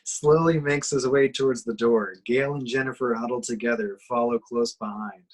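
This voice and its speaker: A man's voice: medium pitch, steady tone, moderate pace, rough recording. A man reading out screenplay action as narrator in an amateur horror table reading.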